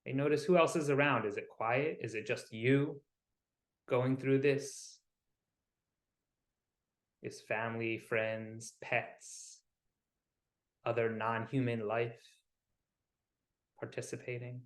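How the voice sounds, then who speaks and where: A man with a mid-pitched voice leads a guided meditation in a roomy, echoing space. He speaks soothingly and without hurry, in short phrases with pauses between them, as a wellness coach would. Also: steady tone.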